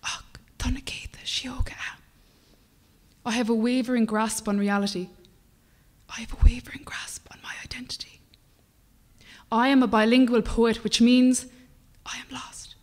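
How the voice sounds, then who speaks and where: A young Irish woman poet reading her work aloud in a measured, rhythmic way at a lectern microphone, at times dropping to a whisper. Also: on the high side, little pitch movement, in a large hall. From Ireland. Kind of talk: poetry reading. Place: small brick-walled performance venue, indoors. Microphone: stand mic at a lectern.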